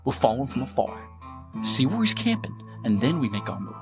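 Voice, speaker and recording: An actor playing a scheming, bossy American bandit in an audio drama, with an impatient folksy frontier drawl. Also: male voice, medium pitch, very animated, brisk, over music. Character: scheming, bossy, dim-witted bandit brother, male.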